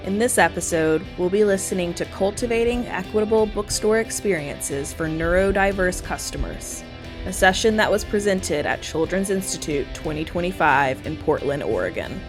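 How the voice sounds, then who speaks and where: A woman hosting a podcast reads a scripted introduction in a somewhat low voice. Clear plucked guitar music plays beneath her the whole time. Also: lively intonation, normal speed. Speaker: podcast host.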